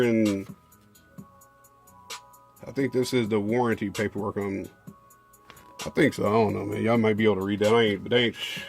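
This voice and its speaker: Man, casual unboxing chat, rambling with fillers, middle pitch, faint background music. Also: darkish tone. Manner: rambling, drawling, many fillers and restarts. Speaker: car audio vlogger.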